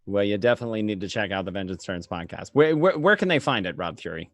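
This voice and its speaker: Male live-show host, casual delivery, fairly low pitch, dry recording. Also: animated, fast talker, dry recording.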